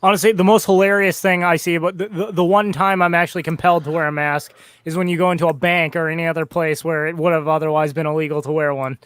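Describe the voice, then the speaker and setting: A man's voice: fairly high pitch, level tone, fast, a bit bright, clear, resonant tone, dry recording. A man in a casual political podcast chat who stammers through restarts and talks wryly.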